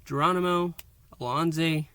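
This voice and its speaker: A man's voice: a little high, steady tone, normal speed, sharp tone, clear, resonant tone, no echo. A man hosting a podcast, giving a casual solo review of an audio drama and thinking aloud with frequent restarts and asides.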